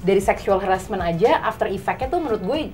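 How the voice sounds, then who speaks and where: A woman's voice: medium pitch, fast. A young woman chats casually in a group discussion, slangy, acting out examples and checking that others follow. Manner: slangy, animated role-play examples, checks listeners follow. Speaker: talk show panelist. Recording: music playing behind the talk. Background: background music.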